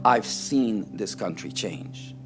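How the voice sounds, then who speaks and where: A man's voice: on the low side, lively intonation, takes it slowly. A man from Costa Rica giving a prepared, personal speech. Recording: music playing behind the speech.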